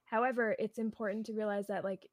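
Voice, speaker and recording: Relaxed young woman, dry close voice. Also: medium pitch, fast, no echo. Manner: relaxed, reflective, lots of short agreeing replies. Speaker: podcast guest. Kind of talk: casual chat.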